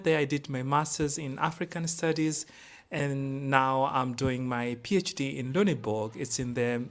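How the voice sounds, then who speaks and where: A man's voice: medium pitch, a bit bright. A young man from Tanzania, a guest scholar in a podcast interview, talking with many fillers, restarts and repeated words.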